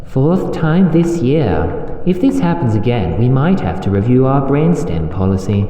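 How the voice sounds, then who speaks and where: A man's voice: mid-range voice, very animated, measured pace, dark tone, voice pushed up. A man voicing a detached, clinical cat nurse with a nonchalant manner in a fan-made audio drama. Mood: matter-of-fact. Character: detached cat nurse, curious but callously businesslike. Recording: echoey hall reverb, faint noise.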